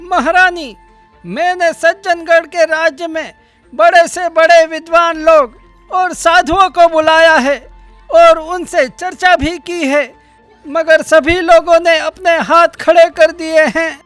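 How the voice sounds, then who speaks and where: A woman voicing a calm, wise old male royal priest in a cartoon. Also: extremely high pitch, takes it slowly, no room sound, soft background music, heavily acted. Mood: advising. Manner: calm, advising.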